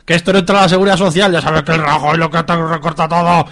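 A man's voice: a little high, flat delivery, fast talker, a bit bright. A man playing a brash, impulsive young lover in a comic radio soap, blunt and melodramatic. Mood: brash, scheming.

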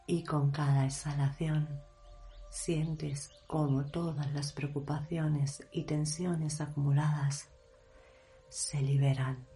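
A woman leading a guided meditation in a hushed, measured delivery, leaving long pauses between short phrases. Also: deep voice, little pitch movement, soft background music. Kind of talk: guided meditation, slow soothing narration.

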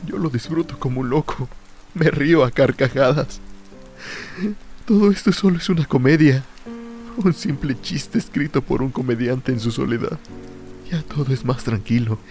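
An actor playing a lonely, tormented drunk sinking into fear and madness in a brooding, theatrical radio drama monologue. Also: male voice, medium pitch, a little mellow, close to an everyday voice. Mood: defiant, bitter, then eerie calm. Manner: brooding, theatrical first-person soliloquy.

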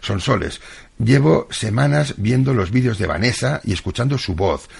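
Gravelly, husky man hosting casual radio. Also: medium pitch, lively intonation, normal speed, a little mellow. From Catalonia, Spain. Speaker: radio host. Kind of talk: casual live radio chat, reading listener messages.

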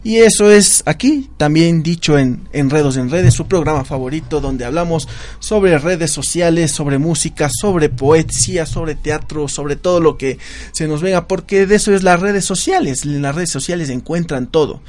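Guy hosting a radio show, pumped up, kinda high voice. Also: moderate pace, a little mellow. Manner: enthusiastic, greets listeners at length.

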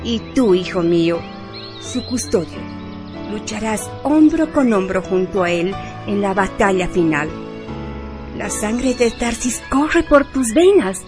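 A woman playing a stern, loving warrior mother in a radio drama, with a solemn, commanding and deliberate delivery. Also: mid-range voice, lively intonation, music in the background. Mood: solemn, devoted. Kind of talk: dramatic radio serial acting.

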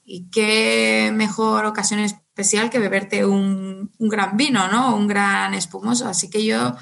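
A woman's voice: medium pitch, flat delivery, a little slow, gravelly, a bit bright. A Mexican woman, a wine professional, talking casually as a podcast guest, laughing often and repeating words as she thinks. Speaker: guest winemaker.

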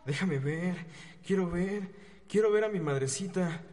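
High-pitched whining Mexican convict played by a man. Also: a little slow, a little mellow. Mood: desperate pleading. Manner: whining, pleading, repetitive. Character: pleading, pitiful crippled prisoner craving drugs. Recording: long echoing prison-like reverb.

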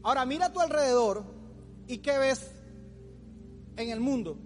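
A man's voice: extremely high pitch, animated, moderate pace, darkish tone. A man in his forties preaching an informal youth sermon as a pastor, in a colloquial, teasing manner. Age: 40s. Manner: colloquial, teasing, acts out imagined complainers. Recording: long hall reverb, music underneath.

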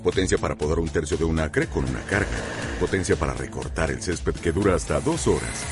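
A man's voice: very deep voice, a little fast. A man reading a scripted radio commercial in a punchy, salesy way. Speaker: ad announcer. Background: music.

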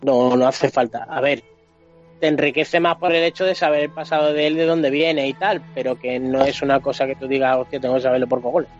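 A man's voice: mid-range voice, steady tone, a little fast. A man with a Spanish accent from Spain, co-hosting a casual video game chat in colloquial, sweary language. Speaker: podcast co-host. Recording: muffled lo-fi podcast, music underneath.

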